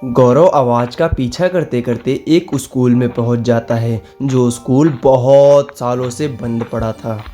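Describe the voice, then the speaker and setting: Male narrator for animated tales: mid-range pitch, very mellow tone, scripted storytelling, soft music bed. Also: measured pace. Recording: music behind the voice.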